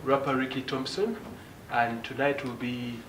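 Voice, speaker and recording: Male TV host and interviewer, mid-pitched voice, probing follow-ups; roomy studio sound with clear noise. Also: little pitch movement, a little slow, fairly bright tone. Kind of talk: hosting an interview. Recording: echoey studio with background noise.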